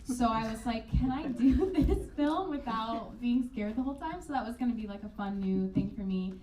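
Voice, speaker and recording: A woman's voice: on the high side, normal speed, mellow. An actress answers casually and laughs freely, full of life. Her voice is roomy and distant, with faint noise behind it. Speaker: actor on a panel. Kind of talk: panel Q&A answers.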